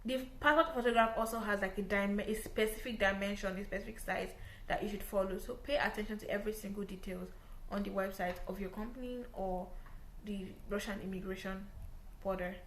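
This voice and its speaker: Young nigerian woman vlogging, chatty, keeps saying like and right, mid pitch, echoey room. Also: steady tone, a little fast, fairly bright tone, a little hiss or hum. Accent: Nigerian English. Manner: chatty, repeats points, often says "like" and "right?". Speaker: vlogger giving visa advice. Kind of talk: casual explanatory talk to camera. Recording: roomy echo.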